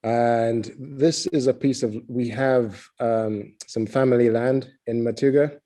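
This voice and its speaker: A middle-aged man from Uganda, a head distiller, answering interview questions in a relaxed way with many fillers. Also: mid-range voice, takes it slowly.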